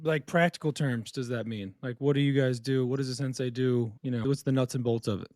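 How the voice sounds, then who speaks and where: A man's voice: medium pitch, fairly even intonation, fairly quick, a little mellow, no room sound, studio quality. A man hosting a podcast interview, casual and chummy with his guest. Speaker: podcast host.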